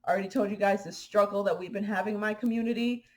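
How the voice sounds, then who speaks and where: A woman from Haiti talking casually to her viewers in a vlog, animated and indignant, with fillers and restarts. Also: medium pitch, very fast. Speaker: spiritual vlogger. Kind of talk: casual spoken commentary to viewers.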